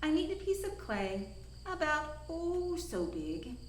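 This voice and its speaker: A woman voicing a tiny, elderly grandmother spider in a storybook, soft and squeaky, gentle but determined. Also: sing-song, takes it slowly, heavily acted. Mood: firm, coaxing. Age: older. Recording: echoey room with noise behind.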